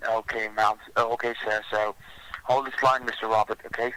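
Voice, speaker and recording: Male phone scammer, bright forceful tone, flat intonation, formal and deferential. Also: on the low side, fairly quick, no echo, poor audio. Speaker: phone scammer posing as a government officer. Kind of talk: scripted phone pitch with improvised replies.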